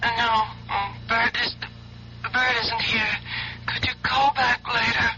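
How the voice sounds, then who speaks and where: Dazed, slow, high-voiced woman. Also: little pitch movement, bright, forceful tone, soft background music, full character voice. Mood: dazed, confused. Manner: vague, faltering, dreamy. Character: dazed, drowsy, fading young woman, gentle and confused. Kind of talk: 1970s radio drama acting. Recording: dull, hissy 1970s radio recording.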